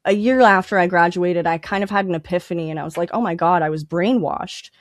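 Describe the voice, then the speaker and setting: A woman's voice: on the low side, fast talker, dry recording, clean studio sound. A woman guest in a casual podcast interview, explaining things emphatically and repeating her key points. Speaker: podcast guest, independent music artist.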